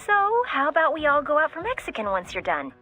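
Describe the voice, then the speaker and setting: A playful, chatty teammate talks in a bright, fairly high voice. She sounds enthusiastic and friendly, in video game style, with light music underneath. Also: sing-song, fairly quick, exaggerated voice. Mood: friendly, teasing. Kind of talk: English video game voice acting.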